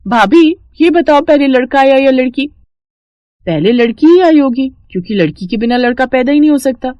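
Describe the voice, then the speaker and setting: A woman voicing a playful, demanding young sister-in-law in a brisk, teasing way for a story. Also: high voice, expressive, a little mellow, no echo, dull audio, pitched above the performer's own voice. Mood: cheeky.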